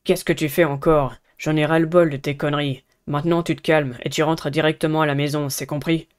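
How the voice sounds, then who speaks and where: A woman voicing a serious, protective teenage boy from a visual novel, speaking in a measured, earnest way. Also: low-pitched, fairly even intonation, clean tone, voice pushed down, close to an everyday voice. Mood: angry. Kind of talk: visual novel character reading.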